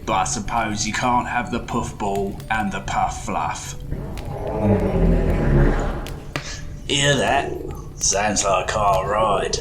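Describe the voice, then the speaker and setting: A man voicing a chatty, folksy goblin tinkerer who guides the listener through a playful fantasy bedtime story. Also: fairly low pitch. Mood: fond, wry. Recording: music behind the voice. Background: music.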